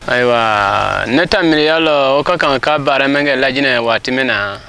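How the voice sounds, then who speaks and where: A young man from Guinea answering a street interview outdoors. He talks in an animated way and thinks aloud with repeated words. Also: medium pitch, normal speed, creaky, clear, resonant tone. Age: young adult. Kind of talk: vox pop answer. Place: outdoors by a dirt road.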